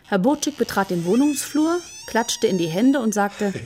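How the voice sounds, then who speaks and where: A woman's voice: on the high side, expressive, normal speed, noisy background, lightly acted. A woman narrating a scripted children's tale in a radio play as the storyteller. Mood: plain storytelling.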